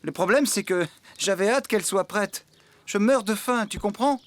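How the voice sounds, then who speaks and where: A man's voice: sits high, normal speed, lightly acted. A man voicing a hapless, easily flustered farmhand in a preschool cartoon, very expressively. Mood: apologetic. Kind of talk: dubbed preschool cartoon acting.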